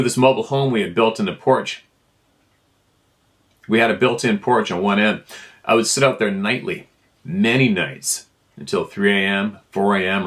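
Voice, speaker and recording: A man narrates a written first-person account. His voice sits a little low, and he reads informally, as someone sharing emails from listeners. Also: a bit bright. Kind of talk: informal read-aloud of a first-person story.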